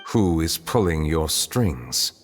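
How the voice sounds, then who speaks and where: Stately game judge, low voice, measured, composed, formal, faint music behind. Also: male voice, very animated, big-room sound, exaggerated voice. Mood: composed, stern. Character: stately, composed male chief justice. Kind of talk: video game voice acting.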